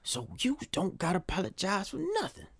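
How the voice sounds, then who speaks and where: Weary, kindly male piano man played by a man in period audio drama: husky, plain-spoken, animated pitch, dry close sound. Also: a little fast, no room sound, heavily acted. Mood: gentle, confiding. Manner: folksy, ungrammatical, plain-spoken.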